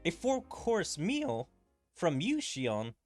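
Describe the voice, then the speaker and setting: A gentle, polite young princess speaks in a measured way, voiced by a man streaming a game. Her pitch moves quite a lot. Soft game music sits behind her. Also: wildly swooping pitch, full character voice.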